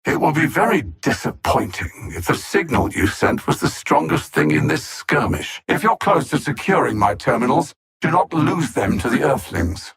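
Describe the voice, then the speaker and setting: A coldly calculating alien supervillain from a video game, haughty and mocking. The voice is husky and full of gravel, sliding widely up and down as he delivers formal, condescending threats. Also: mid-range voice, a bit bright, exaggerated voice. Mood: cold disdain. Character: cold, arrogant alien machine-intellect villain, condescending and calculating. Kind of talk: scripted video game villain dialogue.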